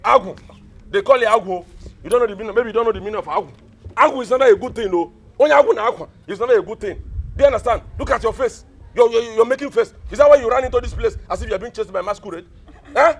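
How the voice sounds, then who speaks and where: A male actor playing a callous, scheming village elder with a Nigerian accent, scolding and repeating himself in loud, theatrical film style. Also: very high-pitched, fast talker, creaky, husky, full character voice. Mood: scornful anger. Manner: blustering, repetitive, scolding.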